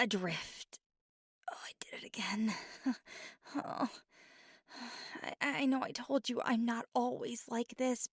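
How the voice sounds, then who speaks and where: A woman's voice: a little high, dry recording, studio quality. A woman playing a nervous, apologetic young woman with water powers in an ASMR roleplay, stammering and slipping into whispered asides. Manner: drops into soft whispered asides.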